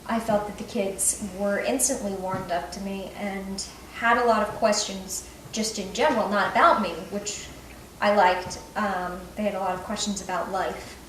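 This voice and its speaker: A woman's voice: medium pitch, fairly even intonation, moderate pace, a bit bright. A young woman speaks in an informal, conversational way, like an author sharing her thoughts on a visit. She sounds a little way from the microphone, and the room rings around her words. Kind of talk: casual reflective talk and question-and-answer with schoolchildren. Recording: roomy, distant mic, some noise.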